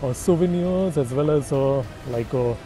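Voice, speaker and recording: A man's voice: medium pitch, normal speed, music in the background. A man in his thirties from India, talking casually to camera outdoors, hesitant with many fillers. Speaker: business agent in Portugal. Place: outdoors in a city street. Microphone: phone at arm's length.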